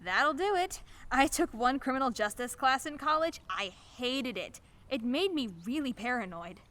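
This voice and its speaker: A woman's voice: high voice, lively intonation, moderate pace, a bit bright. A woman acting in a radio play as a chatty, awkward, eager-to-please young neighbor who gushes and apologizes. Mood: awkwardly candid. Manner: gushing, apologetic, hesitant.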